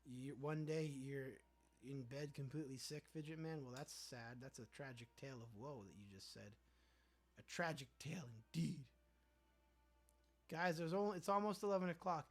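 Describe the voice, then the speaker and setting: A man's voice: a little high, normal speed. Chatty young man reading stream chat. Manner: chatty, reads viewer comments aloud and riffs on them playfully. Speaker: livestream host reading chat. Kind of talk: casual livestream chat.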